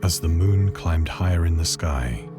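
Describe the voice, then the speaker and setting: A man playing a haunted castaway, narrating a horror tale in a measured, solemn, literary manner for a game. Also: low-pitched. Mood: solemn, awed. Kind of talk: scripted dramatic narration of a horror story. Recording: loud music under the voice. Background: loud music.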